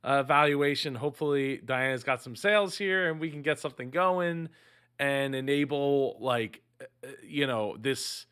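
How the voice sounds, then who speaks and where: A man's voice: medium pitch, pushed and bright, clear, resonant tone. A man hosting a casual reaction show, talking with enthusiasm, with lots of fillers and restarts. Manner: halting, many fillers and restarts; upbeat and enthusiastic. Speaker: business reaction host.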